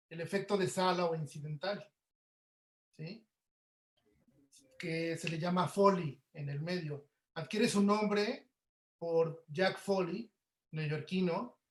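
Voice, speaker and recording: Guy lecturing informally, high voice, repeats himself, roomy echo. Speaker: audio producer giving a talk. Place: indoor room, online webinar. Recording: echoey room on webinar audio.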